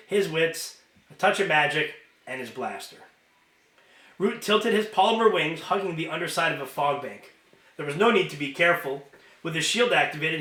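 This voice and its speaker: A man reading a novel aloud as an amateur narrator, expressive and informal, sometimes stumbling and correcting himself. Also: a little high. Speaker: amateur audiobook reader. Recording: roomy, echoing home recording.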